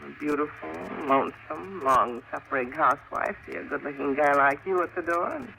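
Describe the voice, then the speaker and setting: Flirty American housewife with a creaky voice. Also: female voice, low-pitched, normal speed, pushed and bright, heavily acted. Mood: teasing, flirtatious. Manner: coy, drawling, suggestive. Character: flirtatious, teasing, lonely housewife. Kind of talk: golden age radio drama acting. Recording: noisy old radio drama recording.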